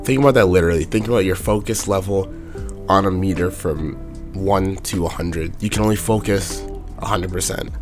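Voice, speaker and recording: Hoarse young guy w a really deep raspy monotone voice, casual motivational talk, some faint music behind. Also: normal speed. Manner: plain-spoken, repeats his point over and over. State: hoarse from a sore throat.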